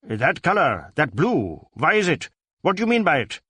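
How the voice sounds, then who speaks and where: Male reader doing Indian police superintendent, sharp, commanding, animated pitch, suspicious, detective story. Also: normal speed, husky, dry recording, exaggerated voice. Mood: disconcerted. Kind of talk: audiobook character acting.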